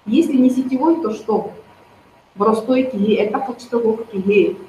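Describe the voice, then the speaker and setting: A woman, persuasively pitching network marketing straight to her viewers. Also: normal speed, mellow, a little hiss or hum. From Yakutia. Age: 30s. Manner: persuasive, addresses viewers directly. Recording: roomy, slightly dull recording.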